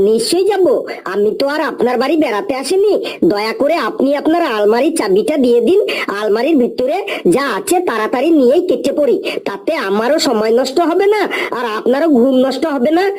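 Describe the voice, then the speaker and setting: A woman's voice: sits high, expressive, a little fast, a little mellow. A woman voicing a shameless, argumentative male burglar in a comic cartoon, talking in a glib and pleading way. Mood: cheeky, pleading.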